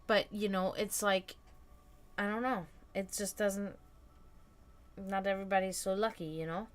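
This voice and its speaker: A woman in her thirties hosting a casual live stream, talking frankly and reflectively and often letting her sentences trail off quietly. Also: mid-range voice, steady tone, unhurried. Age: 30s. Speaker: stream host.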